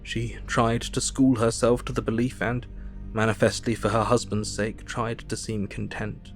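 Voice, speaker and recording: A man playing a sombre, compassionate Victorian gentleman, narrating a diary in measured tones for an audio drama. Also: on the low side, little pitch movement, faint music behind, natural voice.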